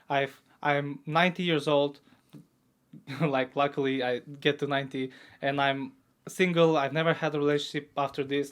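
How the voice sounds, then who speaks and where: A young male podcast co-host with a somewhat higher voice. He talks in a relaxed, casual way with friends. His swearing comes out offhand, not angry. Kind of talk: casual chat.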